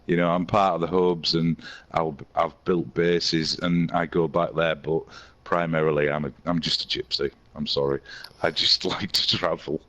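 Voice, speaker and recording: A hoarse man from England with a Yorkshire accent, chatting casually as an interview guest and laughing easily. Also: rumbling low, little pitch movement, normal speed, a bit bright. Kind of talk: casual chat.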